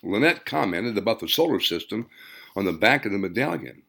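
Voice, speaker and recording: A man's voice: lowish voice, very animated, brisk, creaky, fairly bright tone. A man reading a scripted story aloud as an audiobook narrator. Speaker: author reading own audiobook.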